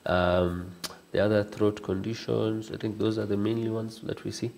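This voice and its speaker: A man with a Kenyan accent, an ENT doctor calmly explaining throat conditions in a TV interview and slipping into Swahili phrases. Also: on the low side, normal speed, vocal fry.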